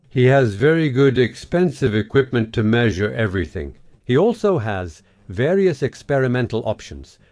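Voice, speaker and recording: Low-voiced man explaining audio gear. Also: a little mellow, no echo. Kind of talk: casual explanatory talk.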